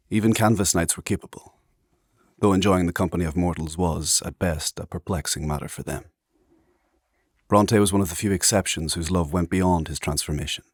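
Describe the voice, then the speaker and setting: A man playing an ancient immortal spirit mentor in a dark fantasy audio drama, speaking in measured, formal, old-fashioned phrases with solemn, knowing authority. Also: low-pitched, no room sound, studio quality.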